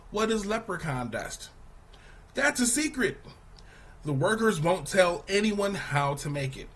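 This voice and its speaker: Slow-paced man reading aloud to children. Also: on the high side, a bit bright, a little hiss or hum. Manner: deliberate, explanatory, poses questions to young listeners. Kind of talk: scripted children's article read-aloud.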